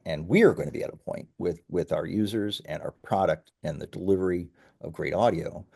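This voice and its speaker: A man with a notably low voice and a warm, relaxed tone. He speaks as a founder in an interview, explaining things conversationally and in a measured way. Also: gravelly. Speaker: company founder being interviewed.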